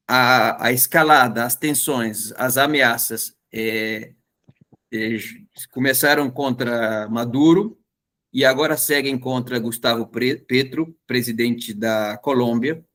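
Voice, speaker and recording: Man hosting a political stream, mid-pitched voice, hesitant "eh" fillers. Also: a bit bright. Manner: introduces the topic with "eh" fillers and restarts. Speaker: host. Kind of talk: livestream political talk.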